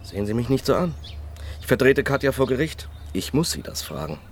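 An actor playing a matter-of-fact, evasive defence lawyer in a German radio crime drama. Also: male voice, mid-range voice, sing-song, a little fast, a little hiss or hum, lightly acted. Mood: probing.

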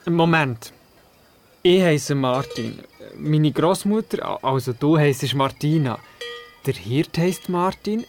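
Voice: mid-range voice, lively intonation, takes it slowly, darkish tone. A performer playing a curious, doubting young boy in a Swiss German radio play, asking questions and narrating. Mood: curious, puzzled. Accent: Swiss German, Glarus area. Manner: inquisitive, skeptical.

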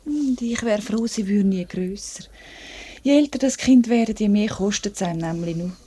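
An actress playing a tender, thrifty young wife and mother with a Swiss accent, soft and conciliatory, in a 1950s radio drama. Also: mid-range voice, normal speed, slightly rough, close to an everyday voice. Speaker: actor. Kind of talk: 1950s German-language radio drama acting.

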